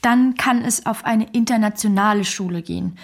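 An actress playing a candid, cheerful Chinese wife in a naturalistic radio play, talking in a matter-of-fact, conversational way. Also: female voice, medium pitch, moderate pace, lightly acted. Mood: reflective, matter-of-fact.

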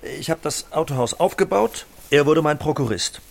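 An actor playing a slick, self-assured middle-aged car dealer in a radio drama, smooth-talking and expressive. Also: male voice, a little high, moderate pace. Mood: indignant. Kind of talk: German audio drama acting.

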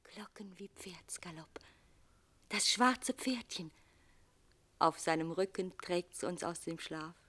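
Ethereal, breathy young bride. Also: female voice, on the low side, slow, long pauses, bright, forceful tone, heavily acted. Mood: dreamy. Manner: breathy, lyrical, often whispering. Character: young dreamy lost bride, ethereal and rapturous. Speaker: actress. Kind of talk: German radio drama acting.

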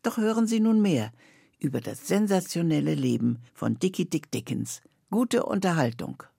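An older woman with a husky voice, presenting a scripted introduction to a radio series. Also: sits low, sing-song, moderate pace, warm tone, dry recording.

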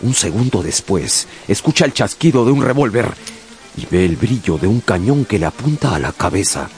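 A man narrating a radio novel dramatically, with deliberate, suspenseful phrasing. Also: on the low side, very animated, creaky, a little mellow, faint music behind.

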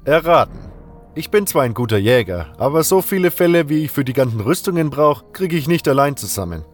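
A man voicing a calm, reserved armorer and hunter in a fantasy audio drama, unhurried and matter-of-fact, with music behind him. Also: fairly high pitch, pitched above the performer's own voice. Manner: unhurried, matter-of-fact, talkative once engaged.

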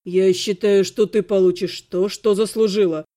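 A woman's voice: mid-range voice, steady tone, normal speed, mellow, voice pushed down, natural voice. A woman voicing a stern male necromancer in an audiobook, icy and clipped with held-back anger. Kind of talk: audiobook character acting.

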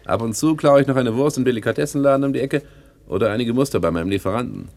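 A man's voice: medium pitch, lively intonation, very fast, darkish tone, close to an everyday voice. An actor playing a slick, self-important small-time crook turned businessman, pompous and self-satisfied, in a radio play. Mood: boastful. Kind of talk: 1960s German radio play acting.

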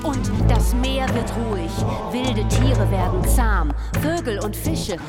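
A theatrical, demanding woman telling of ancient myth in a radio play. Her voice is high and declamatory, set against prominent music. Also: lively intonation, slow. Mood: theatrical, storytelling. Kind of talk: theatrical audio drama narration. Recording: loud music behind the voice.

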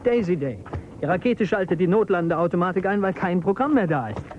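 Radio play: evasive technician, higher-pitched, casually confident, dull sound with traffic rumble behind. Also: male voice, animated, a little fast. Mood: confident, dismissive. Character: evasive, self-assured male rocket technician. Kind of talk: German radio drama acting. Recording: muffled, with loud vehicle and street noise.

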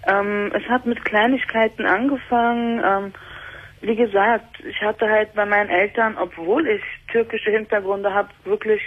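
A woman's voice: medium pitch, steady tone, normal speed. Twenty-something woman from on a call-in show, hesitant, lots of ums, tinny phone sound. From Germany. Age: 20s. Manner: hesitant, many fillers, earnest. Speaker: caller. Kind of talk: personal call-in conversation. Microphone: telephone. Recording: phone line on radio.

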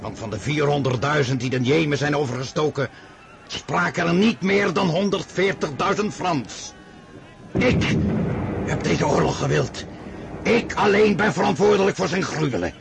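An actor playing a proud, weary and grandiose emperor in a radio drama, declaiming imperiously. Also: male voice, normal speed, gravelly, over a noisy crowd. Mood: solemn, self-justifying. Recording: radio play with loud battlefield rumble behind.

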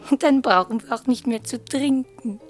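An actress playing a headstrong wife who defies her husband in a German radio play, expressive and stubborn. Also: on the high side, normal speed, soft background music, natural voice. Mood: tipsy, stubborn.

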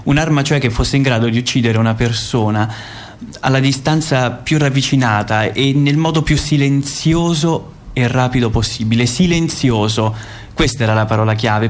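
A man's voice: mid-range voice, normal speed, lightly acted. Precise, methodical male assassin played by an actor. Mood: cool, methodical. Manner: precise, explanatory. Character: methodical secret-service assassin, cool weapons expert. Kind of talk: radio theatre monologue.

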